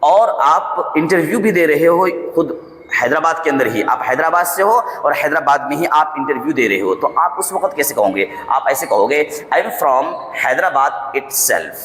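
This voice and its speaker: An Indian-accented male teacher with a bright, forceful voice gives a language lesson in a very echoey room. He explains step by step, slows down to repeat example sentences, and keeps tossing in short check questions. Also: on the high side, lively intonation, a little hiss or hum. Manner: repeats example phrases slowly, often checks understanding with short tag questions. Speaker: spoken English teacher. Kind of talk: instructional lesson to camera, switching between explanation and example sentences. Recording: echoey room with long reverb.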